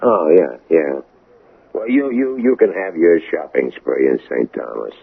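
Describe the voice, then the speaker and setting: An actor playing a domineering American smuggler in a 1980s radio drama, with a gravelly, husky voice and curt, slangy, bossy delivery. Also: male voice, a bass voice, lively intonation, unhurried, exaggerated voice. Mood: confident, dismissive. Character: tough, domineering male smuggler on the run. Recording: narrow tinny old radio recording.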